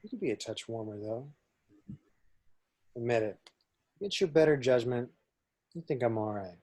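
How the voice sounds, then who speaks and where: A man's voice: medium pitch, lively intonation, moderate pace, mellow, no room sound, close to an everyday voice. A man playing a cocky, teasing roguish pilot in an amateur script reading, with casual delivery. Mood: wry, teasing. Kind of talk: amateur script table read.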